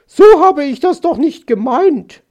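Man voicing a high, excitable lady magpie. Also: unhurried, vocal fry, muffled recording, heavily acted. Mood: startled, indignant. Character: fussy, easily startled female magpie, a lady.

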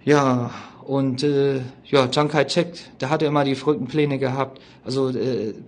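A man giving an informal spoken history explanation in a colloquial, opinionated way, often halting and hesitating as he searches for words. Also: mid-range voice, steady tone.

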